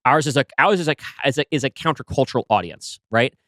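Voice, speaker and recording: A man's voice: mid-range voice, expressive, fast talker, fairly bright tone, dry recording, studio quality. A married man co-hosting a casual podcast, thoughtfully explaining his creative choices with frequent restarts. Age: adult, married. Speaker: podcast co-host, writer of the story.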